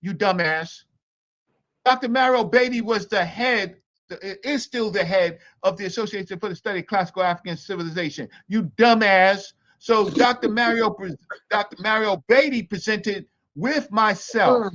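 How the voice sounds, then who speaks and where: Brief: high-pitched man, combative and repetitive, heard over a dull remote call line. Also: moderate pace, a bit bright. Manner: insulting, talks over others, repeats himself. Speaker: podcast guest. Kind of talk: heated casual debate. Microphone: video call.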